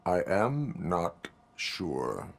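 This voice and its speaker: An actor playing a stiff, dutiful cyborg police officer with a formal, clipped, deadpan delivery. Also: male voice, low voice, extremely slow, gravelly, full character voice. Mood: flat, dutiful.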